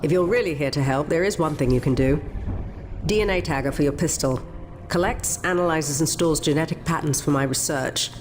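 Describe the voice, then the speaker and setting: An actress playing a guarded, sardonic research scientist in a video game, speaking in a clipped, dry way. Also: female voice, low-pitched, fairly quick, noisy background, natural voice. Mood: dry, businesslike.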